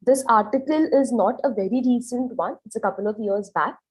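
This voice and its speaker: A woman's voice: a little high, a little fast, a little mellow, husky. Young Indian woman with a sore throat. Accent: Indian English. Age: young adult. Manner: explanatory, with "um" and "you know" fillers. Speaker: guest radiologist presenting. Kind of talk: medical presentation. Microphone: video call.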